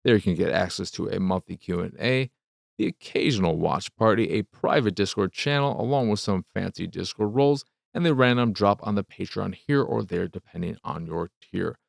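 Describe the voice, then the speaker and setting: A man's voice: on the low side, moderate pace, gravelly, no room sound, studio quality. A man hosting a game review show, talking off the cuff in a rambling, casual way, repeating phrases for emphasis and sometimes swearing.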